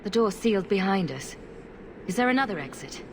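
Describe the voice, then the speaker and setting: An actress playing a resolute, civil young adventurer in a video game, speaking in a direct, questioning way. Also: female voice, mid-range voice, lively intonation, brisk, noisy background, lightly acted. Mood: determined. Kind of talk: dramatic video game acting.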